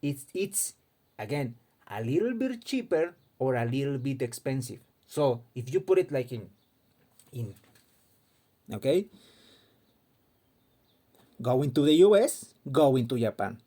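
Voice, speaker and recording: A man's voice: mid-range voice, very animated, unhurried, pauses often, warm tone. A man from Mexico, giving an impassioned casual opinion monologue and often repeating words as he searches for them. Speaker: fighting game commentator.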